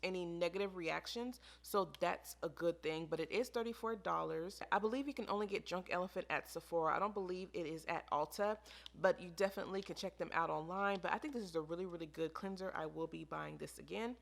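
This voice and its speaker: A woman's voice: lowish voice, a little fast, gravelly. An American woman chatting casually to camera as a beauty vlogger, in an informal, emphatic way that repeats phrases for emphasis. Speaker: beauty vlogger reviewing products.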